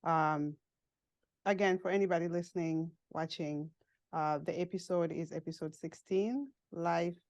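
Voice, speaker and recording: A woman hosting a podcast, asking questions in a chatty, quick way. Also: on the low side, a little mellow, dry recording. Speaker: podcast host. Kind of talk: interview conversation.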